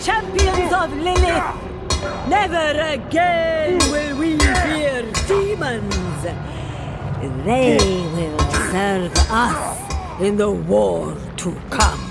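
An actor playing a zealous, grandiose cult preacher in a video game, declaiming to followers. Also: male voice, very high voice, very slow, vocal fry, over loud music, exaggerated voice. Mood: exultant. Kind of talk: video game character dialogue.

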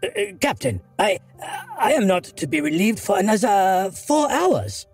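A man's voice: high-pitched, wildly swooping pitch, measured pace, husky, faint music behind, voice pushed up, full character voice. A man voicing a frightened young male guard who stammers. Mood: nervous.

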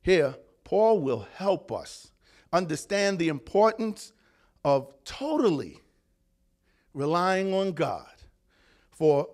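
Slow-paced male pastor preaching. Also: fairly high pitch, big pitch swings, a little mellow. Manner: deliberate, with fillers and restarts, calling out praise. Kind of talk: sermon.

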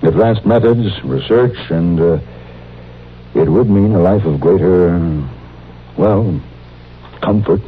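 An actor playing a courteous, businesslike city doctor, speaking in a measured, formal way in a 1950s radio western. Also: male voice, low voice, breathy edge, exaggerated voice. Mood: earnest, persuasive. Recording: muffled, hissy old radio recording.

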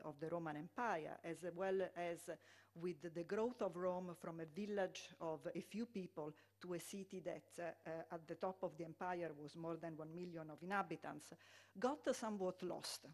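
A middle-aged Italian woman with an Italian accent giving a conference lecture, often pausing on fillers and restarting her sentences. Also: on the low side. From Italy. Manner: hesitant, many fillers and restarts. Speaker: public health lecturer.

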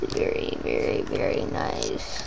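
A woman's voice: takes it slowly, heavy background noise. A woman doing casual, rambling gameplay commentary as a YouTuber, playful and humming nonsense syllables. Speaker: gaming YouTuber.

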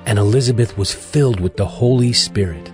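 A man narrates scripture for an audio drama. His low voice is measured and solemn, set over clearly audible music.

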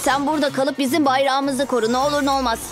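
A sensible young boy hero voiced by a woman with a high, earnest voice. He urges caution like a little team leader in a children's cartoon, with clear music behind him. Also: fairly even intonation, fast, natural voice. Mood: earnest, planning. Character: sensible six-year-old boy cat superhero, team leader. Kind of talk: animated children's cartoon dub.

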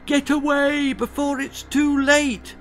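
A man voicing a plaintive, frightened living wooden dummy in a tiny, squeaky voice for a story. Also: flat delivery, slow, faint music behind. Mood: urgent warning. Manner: plaintive, whimpering.

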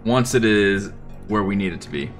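A man giving casual, unhurried gameplay commentary, thinking aloud as he plans. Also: fairly low pitch, lively intonation, faint music behind. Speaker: gaming video host.

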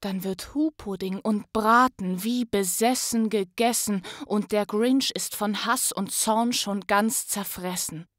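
Casting: female storyteller, dramatic and unhurried, bright tone, dry close sound. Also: mid-range voice, no room sound. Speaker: actress and voice artist, guest reader. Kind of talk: expressive storybook narration.

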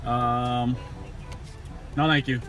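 A young American man chatting casually and deadpan from inside a car, playing the prankster at drive-thrus. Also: medium pitch, very slow, soft background music. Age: young adult. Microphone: passenger's handheld camera close by.

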